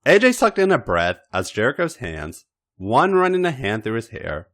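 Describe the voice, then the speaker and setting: A man hosting a podcast and narrating erotic fiction in a theatrical, drawn-out way. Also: mid-range voice, wildly swooping pitch, a bit bright. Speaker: podcast host and story reader.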